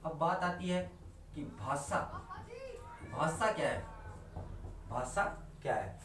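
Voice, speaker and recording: Hindi teacher lecturing in an echoey room. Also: male voice, on the high side, animated, fairly bright tone. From India. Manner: asks questions and answers them himself, repeats key terms; slows right down to stress definitions. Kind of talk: online class lecture. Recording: echoey room recorded from a distance.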